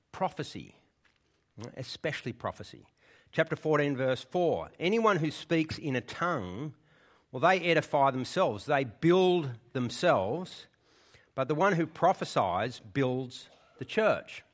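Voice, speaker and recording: A man's voice: a little high, expressive, unhurried, fairly bright tone. A man preaching a sermon in church, explaining the passage in a conversational way and often checking in with his listeners. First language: English.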